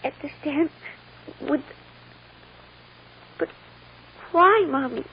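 A small, bewildered American girl speaks in a high, childlike voice on a muffled, faintly noisy old radio recording. She is plaintive and halting, stumbling over words as she seeks her mother's love. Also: expressive, heavily acted. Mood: earnest, trusting. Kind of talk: 1940s-50s American radio drama acting.

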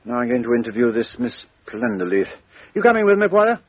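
An actor playing a brisk, commanding police inspector in a 1950s radio drama. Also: male voice, mid-range voice, very animated, dry recording. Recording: dry, dull lo-fi old radio recording.

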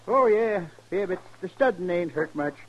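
A mild frontier farmer, patient and meek. His fairly high voice has a folksy, rustic way of dodging, in 1950s radio western style. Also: lively intonation, moderate pace, dull audio. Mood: mild, evasive. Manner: rustic drawl, mild, evasive.

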